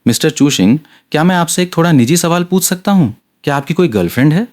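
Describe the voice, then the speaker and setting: A man's voice: on the high side, expressive, fast, a little mellow, pitched above the performer's own voice, natural voice. A man voicing a formal male television host who is polite, careful and easily flustered. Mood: serious.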